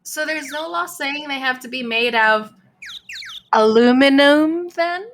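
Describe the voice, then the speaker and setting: A woman's voice: high voice, a little slow, a bit bright, slight background noise. A woman playing a perky, naive and curious teenage girl sidekick in a live fan dub of a video game. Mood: inquisitive.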